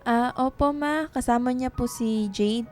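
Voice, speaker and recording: A woman's voice: a little high, steady tone, a little slow, a little mellow, soft background music, close to an everyday voice. An actress playing a melancholy young woman writer in a radio drama. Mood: polite.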